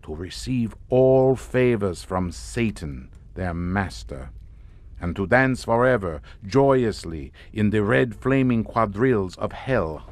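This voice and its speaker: An actor playing a courtly, grandiose and mockingly amused Hungarian count in a suspense audio drama. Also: male voice, on the low side, animated, takes it slowly. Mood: grandly sinister. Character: sardonic, theatrical Hungarian count, host of a chateau.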